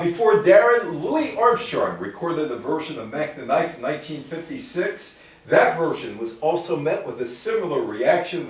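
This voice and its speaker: Echoey, distant older American man lecturing. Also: a little high. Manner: conversational, quizzing listeners, digressing into asides. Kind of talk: public talk to a live audience, part read from notes, with questions to listeners. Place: indoor room with live audience. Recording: very echoey room, distant microphone.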